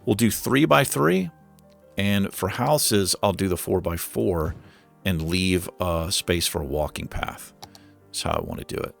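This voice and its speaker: A man casually commenting on his city-building game while he plays, thinking aloud with lots of fillers, his voice going hoarse. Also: deep voice, big pitch swings, measured pace, soft background music. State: losing his voice. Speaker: gaming channel host.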